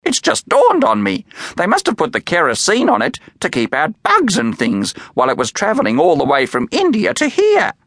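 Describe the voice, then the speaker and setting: A man voicing an excitable small female bird who squawks and chatters in a high, shrill voice. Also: a little slow, vocal fry, slightly rough, dry recording, studio quality, heavily acted. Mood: excited chatter.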